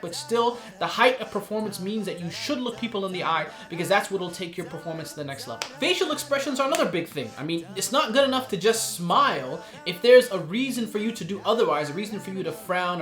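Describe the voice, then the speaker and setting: A man's voice: high-pitched, fairly bright tone. Guy teaching a course, earnest and conversational, echoey room. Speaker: course host and instructor. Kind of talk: recorded course lecture on performance.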